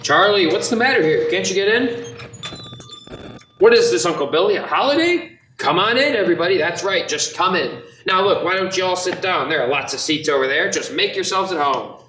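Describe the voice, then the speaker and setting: A man in a radio play acting an earnest, excitable small-town young man, emphatic and stammering when flustered. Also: sits high, a little fast. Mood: concerned. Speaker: actor in a youth group radio play. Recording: echoey room, faint noise.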